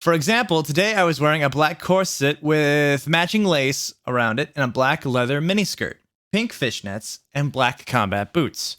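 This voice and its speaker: A man's voice: fairly high pitch, lively intonation, normal speed, fairly bright tone. A man voicing a self-absorbed, melodramatic seventeen-year-old goth vampire witch girl narrating a fan fiction story.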